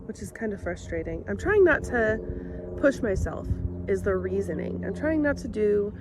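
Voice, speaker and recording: A young woman vlogging in a relaxed, rambling way, sounding congested and sick. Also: medium pitch, moderate pace, noisy background. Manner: rambling, chatty with fillers. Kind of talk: casual vlog chat.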